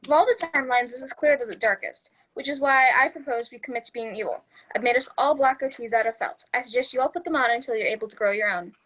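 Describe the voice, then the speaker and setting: Casting: female reader as an earnest young male oddball playing evil, fairly high voice. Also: fast, few pauses, a bit bright, low-quality recording. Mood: solemnly scheming. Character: earnest odd young man turned solemn would-be villain. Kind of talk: amateur sitcom table read.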